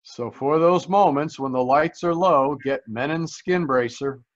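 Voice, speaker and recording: A man's voice: fairly high pitch, a little slow, highs cut off. A man reading as a radio announcer in a polished, scripted 1940s sponsor style.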